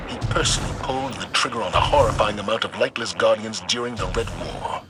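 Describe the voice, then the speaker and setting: An actor playing a stern military commander, giving a mission briefing in an authoritative, urgent manner with clipped orders. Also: male voice, fairly low pitch, background noise, close to an everyday voice. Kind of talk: game mission dialogue. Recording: loud music over the voice.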